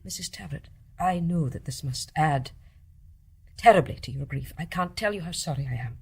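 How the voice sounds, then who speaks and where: An actress playing a formal, insistent, dutiful British private nurse in a radio drama. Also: female voice, low-pitched, animated, normal speed, darkish tone, slightly rough, full character voice. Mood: solemn, firm. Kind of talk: British stage-play radio acting.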